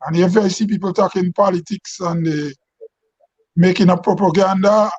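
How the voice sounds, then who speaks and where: Grenadian man, high voice, dry dull sound. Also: steady tone, darkish tone, no echo. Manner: halting, restarting phrases. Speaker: guest, writer. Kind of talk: talk show conversation.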